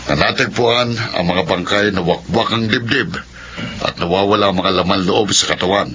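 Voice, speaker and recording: A determined village captain in a Filipino horror radio play. He speaks formally and with authority in a low, hard-edged voice. The lo-fi recording has noise behind it. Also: moderate pace, sharp tone. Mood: stern, urgent. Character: stern, determined male village captain hunting monsters.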